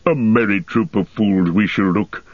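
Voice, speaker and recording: A man voicing a stubborn, proud male dwarf warrior with a gruff, defiant, deliberate delivery, in an audiobook reading. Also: on the low side, dry recording, narrow, tinny audio. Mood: mocking.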